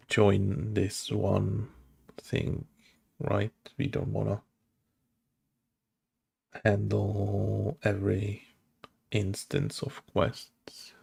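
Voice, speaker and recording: A man's voice: on the low side, vocal fry, darkish tone, husky. A man thinking aloud as he writes game code, hesitant and muttering, full of fillers and questions to himself. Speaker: game developer coding on stream. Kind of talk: thinking aloud while coding. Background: keyboard typing and clicking.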